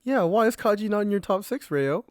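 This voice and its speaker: A man's voice: sits high, lively intonation, brisk, no echo, studio quality. A man co-hosting a podcast, talking in a casual group discussion. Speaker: podcast co-host.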